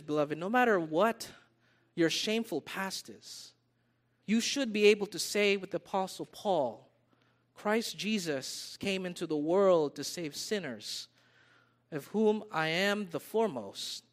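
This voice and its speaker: A man's voice: high-pitched, fairly bright tone. An American man preaching a sermon, repeating his phrases for emphasis and calling his listeners beloved. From United States. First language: English. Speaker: pastor.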